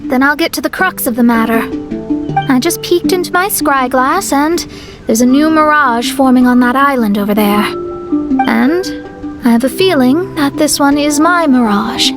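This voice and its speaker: A woman's voice: high voice, moderate pace, over music, natural voice. A woman playing a proud, easily flustered young astrologist in an anime-style game, with a self-important manner. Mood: confident.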